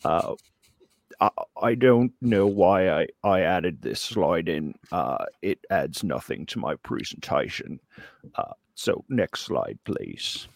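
A man giving a comic mock lecture in a put-on Australian accent, hesitant and deadpan. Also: low-pitched, slow pace, creaky, no room sound, clean studio sound. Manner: putting on a joke Australian accent. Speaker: comic presenter giving a slideshow talk. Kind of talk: mock lecture, improvised comedy presentation.

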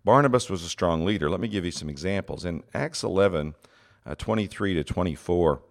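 Deep, scratchy-voiced man with a cold. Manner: earnest, measured teaching with many "uh" fillers. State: has a cold, congested. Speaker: podcast host, seminary president. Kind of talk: solo teaching podcast.

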